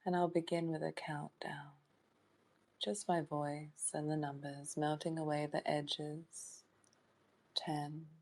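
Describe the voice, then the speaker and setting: A woman leading a guided meditation in a soft, soothing voice that sometimes drops toward a whisper, in short phrases with long pauses. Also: sits low. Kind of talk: guided meditation, hypnotic trance induction.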